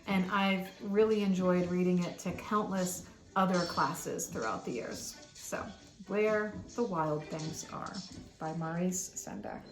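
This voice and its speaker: A woman's voice: on the low side, takes it slowly, over music, low-quality recording. A middle-aged woman, a school librarian, reading a picture book aloud expressively to children.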